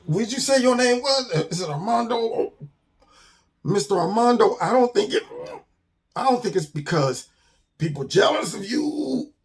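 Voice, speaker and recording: A man's voice: high-pitched, animated, moderate pace, voice pushed up. A man voicing a scrawny, timid but plucky young bird in a children's story, hesitant and defensive in a casual way. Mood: awkward candour. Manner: hesitant, casual, exasperated protesting.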